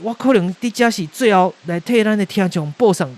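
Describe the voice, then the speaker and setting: A man's voice: sits high, a little fast, darkish tone, pitched above the performer's own voice, close to an everyday voice. A man voicing an alarmed 1930s radio news announcer delivering an urgent bulletin in a podcast retelling.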